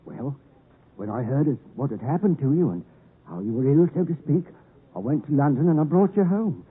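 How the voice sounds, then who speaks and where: An actor playing a kindly, humble English country blacksmith, gentle, loyal and halting, in a 1950s radio drama. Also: male voice, fairly high pitch, expressive, slightly rough. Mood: tender, soothing. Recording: muffled, tinny vintage 1950s radio.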